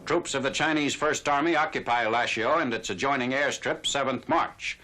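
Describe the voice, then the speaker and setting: A man's voice: mid-range voice, moderate pace, bright, forceful tone. A man narrating a 1940s military newsreel in a formal, factual announcer style. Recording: noisy vintage 1940s newsreel soundtrack.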